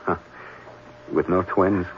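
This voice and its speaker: A man playing a smooth, evasive young heir in an old-time radio drama, clipped and impatient, hesitant when pressed. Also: low-pitched, fairly even intonation, slow. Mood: reassuring, affectionate. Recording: muffled, noisy old radio broadcast recording.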